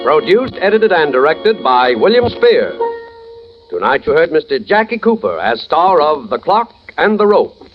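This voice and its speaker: A man's voice: a little high, big pitch swings, a little slow. An American man announcing a thriller program in dramatic old-time radio style. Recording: dull old radio recording, music behind.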